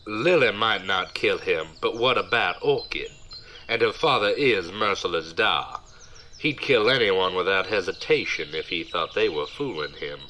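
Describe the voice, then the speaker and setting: Man acting a low, bright-voiced, excuse-making sidekick. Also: lively intonation, a little slow, slight background noise, exaggerated voice. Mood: persuasive. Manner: earnest, dramatic storytelling. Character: smooth-talking, excuse-making male buddy of a rogue. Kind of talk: audio drama acting, storytelling.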